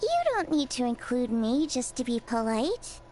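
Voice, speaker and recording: A woman's voice: a little high, expressive, normal speed, mellow, slight background noise, lightly acted. A woman voicing a perky, modest young girl chef in a video game, playing herself down. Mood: modest, chirpy. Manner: perky, self-deprecating.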